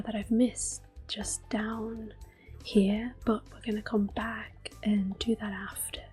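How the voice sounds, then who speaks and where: A woman giving soft, breathy, mostly whispered ASMR commentary on a video game, gentle and close to the microphone. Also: fairly high pitch. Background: soft game music.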